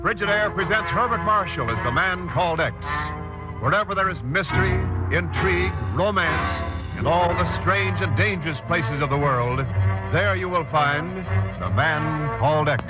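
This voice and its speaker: A man's voice: fairly high pitch, normal speed. Dramatic vintage radio announcer man over music. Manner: declamatory, portentous. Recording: dull vintage radio recording. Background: loud music.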